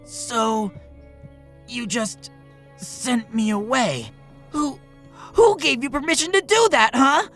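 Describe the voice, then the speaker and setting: A woman voicing a young male alien warrior in an audio drama, indignant and quick to lash out, with a gravelly edge. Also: a little high, lively intonation, fairly bright tone, faint music behind.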